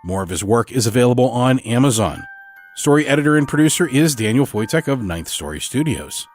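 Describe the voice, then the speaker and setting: Man reading podcast credits over soft music. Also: mid-range voice, expressive, a little fast. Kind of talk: scripted podcast outro.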